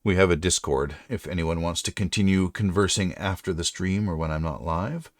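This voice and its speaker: Very deep-voiced middle-aged man chatting. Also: moderate pace, dry recording. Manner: rambling, self-correcting. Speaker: livestream host. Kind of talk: casual livestream chat.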